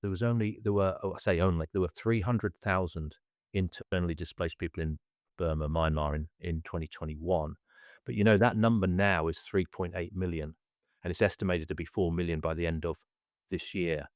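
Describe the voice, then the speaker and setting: Male podcast host, very deep, halting with fillers, dry studio sound. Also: fairly even intonation, no room sound. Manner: hesitant, many fillers and restarts. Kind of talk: casual conversational podcast.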